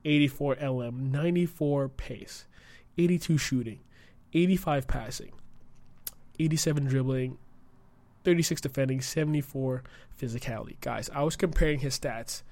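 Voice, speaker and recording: A young man hosting a casual solo podcast, his voice croaky from a cold, chatty and rambling with many fillers. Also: mid-range voice, normal speed, pauses often, darkish tone. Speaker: podcast host and streamer.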